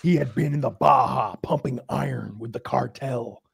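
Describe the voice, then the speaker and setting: A man co-hosting a podcast, telling a story in a loose, animated way and acting out moments as he goes. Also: medium pitch, moderate pace, creaky, slightly rough, dry recording, studio quality. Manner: animated storyteller, acts out bits. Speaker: podcast co-host. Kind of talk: casual chat.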